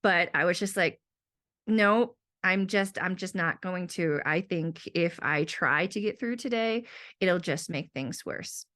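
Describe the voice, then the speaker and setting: A woman's voice: fairly low pitch, a little slow, fairly bright tone. A young woman and teacher, sick with a sore throat, talking casually and rambling through her week in a personal monologue. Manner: rambling, self-talking asides.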